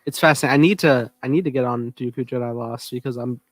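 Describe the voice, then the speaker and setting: A man's voice: mid-range voice, fast, no room sound. A man hosting a podcast in loose, casual, conversational chat. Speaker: podcast host.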